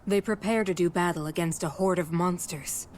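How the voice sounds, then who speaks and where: A woman's voice: lowish voice, moderate pace, background noise, natural voice. A woman playing a composed, dutiful noblewoman in a video game cutscene. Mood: composed, urgent. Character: composed, dutiful noblewoman bearing urgent news.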